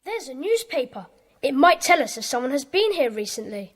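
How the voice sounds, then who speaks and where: Observant, very high-voiced schoolboy. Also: female voice, very animated, fairly quick, in a large hall. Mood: matter-of-fact. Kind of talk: children's audio drama acting.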